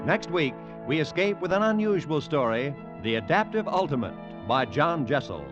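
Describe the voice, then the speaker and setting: A man's voice: fairly high pitch, very animated, moderate pace. An American man announcing in a formal, polished and emphatic 1940s radio style. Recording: dull vintage radio recording. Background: instrumental music.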